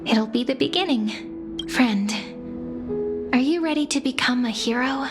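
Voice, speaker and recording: An actress playing a gentle, hopeful young heroine in a video game, speaking with earnest tenderness. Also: female voice, high-pitched, measured pace, music in the background. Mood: tender, hopeful. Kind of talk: dramatic game voice acting.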